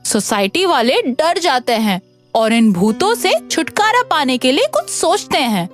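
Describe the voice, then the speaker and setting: A woman narrating a scripted animated story for children. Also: sits high, measured pace. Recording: music under the voice.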